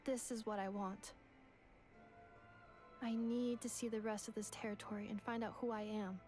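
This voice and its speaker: A woman voicing a calm, earnest robot in a video game, polite, measured and formal. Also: on the high side, soft background music, natural voice. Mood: calm, resolute. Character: calm, earnest female robot seeking her identity.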